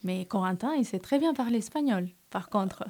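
A woman hosting a radio show, interviewing in a conversational, encouraging way with gentle questions. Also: medium pitch, expressive, normal speed. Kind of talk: conversational interview with young guests.

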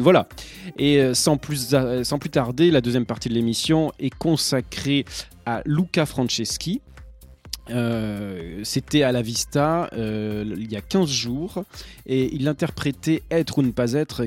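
A man's voice: medium pitch, a little mellow. A man hosting a community radio show, reading announcements with many hesitations and restarts. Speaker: radio host.

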